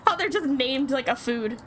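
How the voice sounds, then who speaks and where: A woman streaming a game, reading its text aloud and reacting in a playful, theatrical way. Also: high-pitched. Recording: clear background noise.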